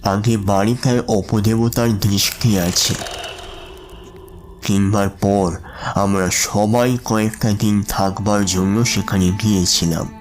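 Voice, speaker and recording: An actor playing a troubled, humble middle-aged businessman, speaking slowly and deferentially in an audio drama. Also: lowish voice. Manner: slow, deferential, halting delivery. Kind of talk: dramatised audio story acting. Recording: music under the voice.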